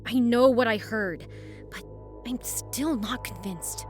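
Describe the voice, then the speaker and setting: A woman's voice: on the high side, takes it slowly, over music. A woman playing a distraught, suspicious, hot-tempered young stepdaughter in an audio drama, emotionally raw and confrontational. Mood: worried, frustrated.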